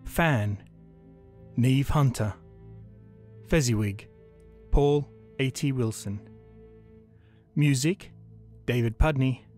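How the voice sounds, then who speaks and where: Slow-spoken man announcing. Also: mid-range voice, darkish tone, soft background music. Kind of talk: scripted credits reading.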